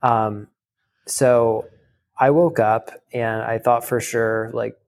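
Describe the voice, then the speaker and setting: A young man telling a candid personal story in casual talk, halting, with many fillers and restarts. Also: medium pitch, flat delivery, gravelly, darkish tone, a little hiss or hum. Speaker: guest.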